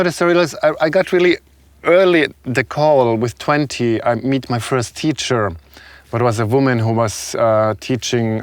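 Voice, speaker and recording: A man with a continental European accent, a non-native English speaker, talking reflectively and haltingly as an interview guest. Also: mid-range voice, smooth, no room sound. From Serbia, moved to Germany as a child. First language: not English. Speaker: guest, shaman and spiritual healer. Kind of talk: conversational interview talk.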